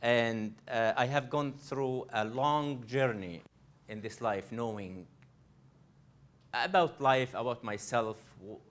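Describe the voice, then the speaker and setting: A man's voice: medium pitch, slow pace. A man from Egypt, a coach presenting a live workshop to an audience. He speaks earnestly, often restarting and repeating phrases as he addresses the room directly. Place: library event room.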